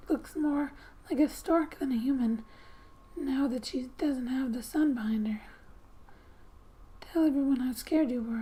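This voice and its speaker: A woman speaking softly and breathily in gentle ASMR style, often slipping into a whisper as she reads a game story aloud with casual asides. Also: high voice, low-quality recording. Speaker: gaming ASMR creator. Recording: quiet background music. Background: soft music.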